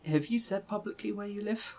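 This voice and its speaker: Male podcast co-host, higher-pitched, casual and jokey, with teasing mock gravity. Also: brisk, a little mellow. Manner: joking, teasing, mock-serious.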